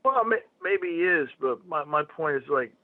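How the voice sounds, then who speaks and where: A man's voice: fairly high pitch, expressive, normal speed, no room sound. Blunt Philadelphia man on a tinny phone line. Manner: blunt, profane, rambling. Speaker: phone guest. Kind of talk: casual phone conversation.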